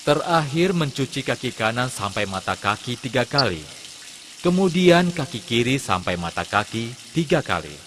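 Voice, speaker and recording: A man's voice: mid-range voice, sing-song, fairly bright tone. Guy teaching a religious lesson step by step for kids, big echoey reverb, noise behind him. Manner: measured step-by-step explaining. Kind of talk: scripted instructional narration for children's cartoon. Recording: long hall reverb, clear background noise.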